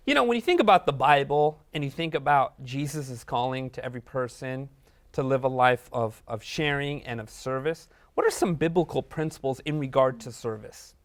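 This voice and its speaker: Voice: mid-range voice, normal speed. A talk show host interviewing guests, affirming their answers and asking questions.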